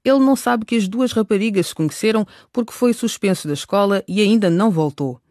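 Dry, medium-pitched radio drama narrator. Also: a little fast, a little mellow, dry recording.

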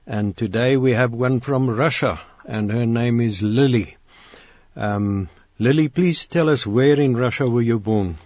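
A man hosting an interview programme, speaking haltingly with frequent pauses. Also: medium pitch, a little mellow. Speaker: radio interview host. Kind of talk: missionary interview programme with anecdotes. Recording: muffled, roomy radio recording.

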